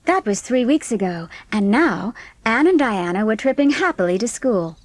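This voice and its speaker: A woman's voice: on the high side, big pitch swings, moderate pace. A woman reading scripted audiobook narration of a story as its narrator.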